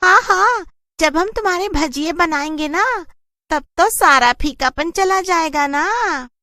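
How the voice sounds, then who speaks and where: A woman voicing a spiteful, gleeful female witch with a shrill, squeaky voice and drawn-out, taunting delivery, in a horror cartoon story. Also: animated, sharp tone, no echo, studio quality, full character voice. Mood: gleefully menacing.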